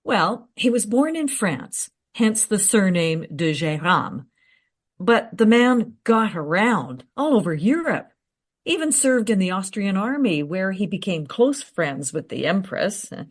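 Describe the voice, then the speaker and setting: An actress playing a confident, eager researcher in a radio play, recounting history in a teasing, matter-of-fact way. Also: female voice, medium pitch. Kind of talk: radio play dialogue, storytelling.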